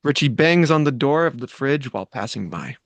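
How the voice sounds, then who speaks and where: A man reading screenplay stage directions aloud at a table read, in a plain, quick manner. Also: mid-range voice, big pitch swings, vocal fry, no echo.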